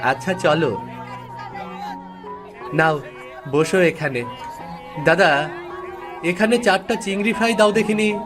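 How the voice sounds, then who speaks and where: A man's voice: high voice, slow pace, pauses often, music in the background, a crowd in the background. A man voicing a mild, long-suffering village family man in a cartoon, with a folksy manner. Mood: agreeable. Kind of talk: cartoon story voice acting.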